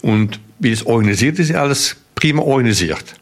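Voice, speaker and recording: A man's voice: medium pitch, lively intonation, brisk. A man from the Netherlands with a Dutch accent, a course instructor giving hesitant spoken feedback in a language that is not his first. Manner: hesitant, searching for words in a second language.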